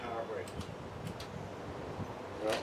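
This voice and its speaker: A man giving a casual, unscripted unboxing demonstration, rambling and muttering to himself as he works. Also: slow. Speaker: vlogger showing vintage electronics. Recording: roomy, distant mic, loud background noise.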